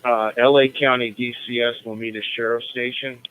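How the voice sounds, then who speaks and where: A man's voice: medium pitch, level tone, a little fast, phone-quality sound. An American man acting as net control, running a radio roll call in a formal, procedural manner.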